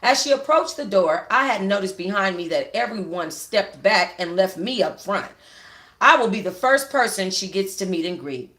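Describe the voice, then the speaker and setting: A woman book club reader narrating a first-person story aloud in an informal, expressive way. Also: mid-range voice, normal speed. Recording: echoey home room.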